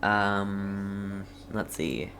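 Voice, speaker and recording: A woman's voice: extremely low pitch, fairly even intonation, very slow, fairly bright tone. A woman streaming a game with casual commentary, muttering to herself and reacting briefly, with background noise.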